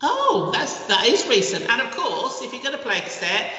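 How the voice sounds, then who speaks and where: A woman's voice: fairly high pitch, big pitch swings, moderate pace, sharp tone, soft background music. A woman co-hosting a radio show, cheerfully reading out historical dates in a casual chat, with a chuckle in her voice. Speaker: radio co-host. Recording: echoey hall, distant from the mic.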